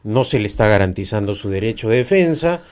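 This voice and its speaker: A man's voice: fairly low pitch, animated, quick pace, darkish tone. A middle-aged Peruvian man being interviewed about politics, arguing emphatically with frequent hesitations. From Peru. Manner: emphatic, argumentative, many hesitations. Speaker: political guest.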